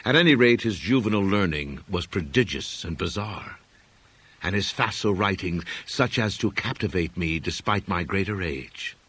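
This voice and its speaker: A man with a low, somewhat husky voice reads a horror story aloud. His delivery is solemn and deliberate, in the measured style of scripted audiobook narration. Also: lively intonation, fairly bright tone, no room sound. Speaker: audiobook reader.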